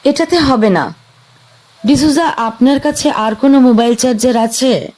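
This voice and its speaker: A woman's voice: high voice, unhurried, a little mellow. Jina, an impatient stranded woman. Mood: uneasy.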